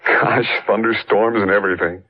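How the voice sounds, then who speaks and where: An actor playing a plain-spoken, earnest young American husband in a 1940s radio drama, with a folksy, boyish manner. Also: male voice, lowish voice, fairly even intonation, moderate pace, lightly acted. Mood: easygoing. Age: young adult. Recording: muffled, noisy old radio recording.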